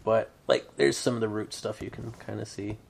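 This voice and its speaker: A man's voice: fairly low pitch, fairly even intonation, normal speed, vocal fry, slight background noise. A man hosting a podcast in casual chat, rambling with lots of fillers and laughing often. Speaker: podcast host.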